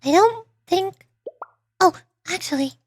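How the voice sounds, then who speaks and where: Sweet, fussy little girl teacher, very high and hesitant, for an anime game played by a woman. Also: lively intonation, takes it slowly, faint music behind, full character voice. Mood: unsure. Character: earnest, flustered little schoolteacher girl, sweet and polite.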